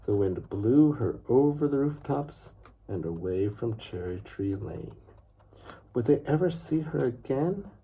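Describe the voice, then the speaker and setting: A man reading a children's story aloud in an expressive, unhurried way with dramatic pauses and a husky, gravelly voice. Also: mid-range voice, a little hiss or hum. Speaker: father reading a bedtime story.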